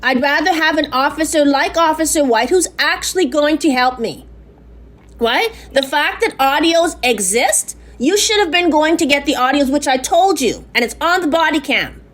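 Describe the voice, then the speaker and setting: A woman with a high, carrying voice presses her complaint on a phone call. She talks loudly and insistently, pushing on through interruptions with repeated "right?" tags. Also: lively intonation, normal speed.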